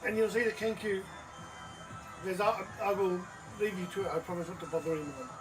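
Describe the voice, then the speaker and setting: Halting game master over music. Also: mid-range voice. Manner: halting, feeling for words. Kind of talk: improvised tabletop game narration and table chat. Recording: distant from mic, music over the voice.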